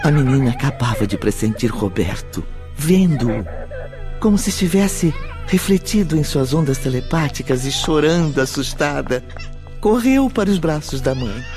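A man narrating a radio drama from a script in a measured, solemn, emphatic way. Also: mid-range voice, expressive. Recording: faint music behind. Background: soft background music.

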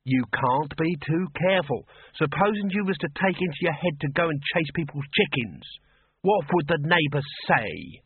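A man's voice: on the high side, animated, moderate pace, breathy edge, dry recording, voice pushed up. A man putting on a bossy fussing mother. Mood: nagging. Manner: nagging, scolding. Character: fussy, bossy older woman, self-important mother. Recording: muffled phone-like audio, dry.

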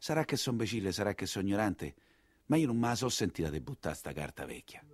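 Animated Roman coachman, earthy storyteller. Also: on the low side, fast. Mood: wistful, subdued. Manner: earthy, emotional, colloquial storytelling. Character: Ninetto, excitable humble 19th-century Roman coachman telling his story. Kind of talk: theatrical monologue in dialect.

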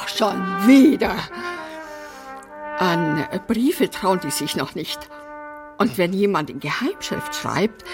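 An actress playing a brash, eccentric apartment resident in a radio drama, theatrical, flippant and defiant. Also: female voice, a little high. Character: brash, eccentric, bossy female apartment resident opening others' letters. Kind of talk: German radio drama acting.

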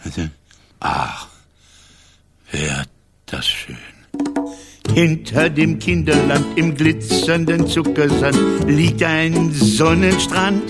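An actor playing a tired old Santa Claus who dreams of retirement, with a sighing, rhyming manner, in a children's radio play with songs. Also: male voice, fairly high pitch, animated, very slow, long pauses, over loud music, heavily acted. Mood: dreamy, longing. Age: older.

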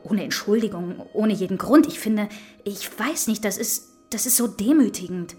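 A woman's voice: medium pitch, animated, normal speed, darkish tone, faint music behind, lightly acted. A woman playing an indignant, frightened hostage in a crime radio drama, aggrieved and protesting. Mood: indignant, humiliated.

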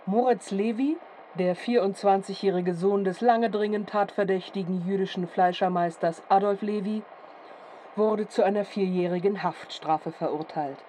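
A woman's voice: medium pitch, dark tone, a little hiss or hum, lightly acted, rough recording. A woman as a sober announcer in a radio play, reading scripted text in a formal, factual way. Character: sober female news reader reporting a court case. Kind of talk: scripted news-style narration and credits. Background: music.

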